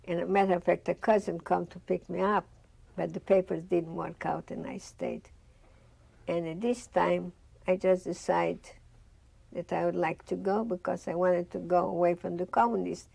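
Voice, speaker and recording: A woman's voice: lowish voice, little pitch movement, normal speed, gravelly, warm tone, breathy edge, no room sound. An older woman from Bessarabia with a Russian accent, telling her life story in a seated studio interview and acting out the dialogue she remembers. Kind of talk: oral history interview. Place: studio with dark curtain backdrop, seated.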